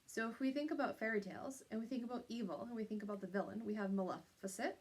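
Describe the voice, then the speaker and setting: A woman's voice: on the high side, fast talker, breathy edge, low-quality recording. A woman teacher giving an instructional lesson to young pupils, explaining step by step and checking in with little tag questions.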